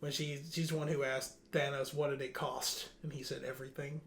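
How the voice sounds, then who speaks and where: A man's voice: mid-range voice, flat delivery, fairly quick, echoey room. A man hosting a video game news podcast, running through the news in a casual, chatty way. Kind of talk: casual news rundown and chat.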